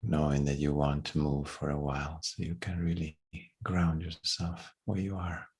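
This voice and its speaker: A man leading a guided meditation in a soft, breathy, hushed voice, unhurried and leaving long pauses. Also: very deep voice, monotone.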